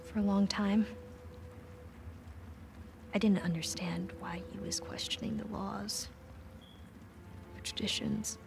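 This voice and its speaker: An actress playing a gentle, worried teenage girl in a video game drama, speaking softly and haltingly. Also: medium pitch, steady tone, gravelly, in a whisper, over music. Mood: sombre, regretful. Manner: hushed, halting, soft. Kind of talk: naturalistic video game cutscene acting.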